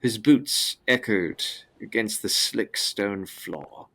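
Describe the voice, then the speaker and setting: A man's voice: on the low side, slow pace, creaky, a bit bright. A man narrating a gothic audio drama as scripted, with grave, dramatic phrasing.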